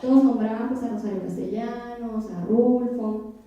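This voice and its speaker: A young woman from Oaxaca, Mexico, giving a reflective keynote lecture to a university audience, often pausing on fillers and putting questions to the crowd. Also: a little high, dark tone, highs cut off. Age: 20s. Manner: frequent hesitation fillers. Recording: echoey hall, voice from far off.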